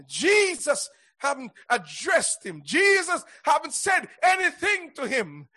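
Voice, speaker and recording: A man preaching a fervent Pentecostal sermon in a husky, raspy voice, declaiming and punctuating his phrases with exclamations of praise. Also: extremely high pitch, huge pitch swings, slow pace, bright, forceful tone.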